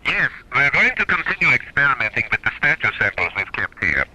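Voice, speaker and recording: Male moon-base scientist: serious, crisp, uneasy, medium pitch, piercingly bright radio-drama delivery. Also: very animated, fairly quick, slight background noise, poor audio.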